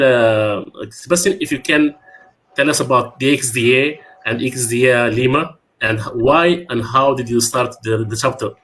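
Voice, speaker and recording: A man who hosts a video call through a headset from a small room at home, speaking hesitantly and filling his pauses with "you know.". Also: medium pitch, fairly bright tone. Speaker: community organizer and host. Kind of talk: casual online talk.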